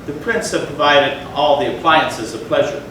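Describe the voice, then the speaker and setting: A man's voice: on the high side, moderate pace. Theatrical man reading, very echoey and distant. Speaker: stage reader. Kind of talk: dramatic gothic story reading. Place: indoor theatre, far from the mic. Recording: echoey theatre from far off, faint noise.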